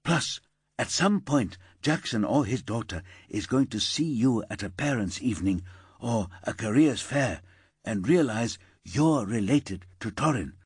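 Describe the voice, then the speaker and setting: A man reading a calm senior policewoman. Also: medium pitch, measured pace, husky, no echo, studio quality. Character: level-headed senior female detective.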